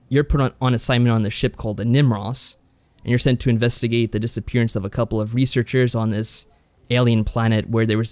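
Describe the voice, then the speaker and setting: A man co-hosting a podcast, explaining and reviewing games in a measured, conversational way. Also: medium pitch, a little mellow, clear, resonant tone, dry recording. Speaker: podcast co-host. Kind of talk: conversational visual novel review.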